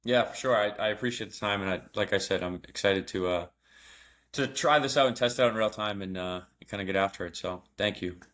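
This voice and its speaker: A man's voice: fairly low pitch, a bit bright. A man with a Boston accent, an amateur runner talking about his training plan, hesitant and full of fillers. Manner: hesitant, many fillers and restarts, quick to laugh. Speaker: amateur marathon runner, guest. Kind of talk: casual interview chat.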